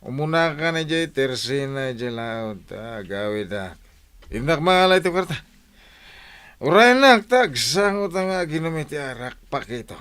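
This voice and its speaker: A man's voice: on the high side, expressive, a little slow, fairly bright tone, clear, resonant tone. A man playing a jealous, hot-tempered village husband who accuses and confronts, in a radio drama. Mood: pushy. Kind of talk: Ilocano radio drama acting.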